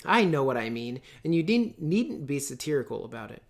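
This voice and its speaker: A man's voice: mid-range voice, big pitch swings, brisk, gravelly. A man voicing a vain, affected youngest sister in a storybook, prim and petulant with an air of dignity. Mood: indignant.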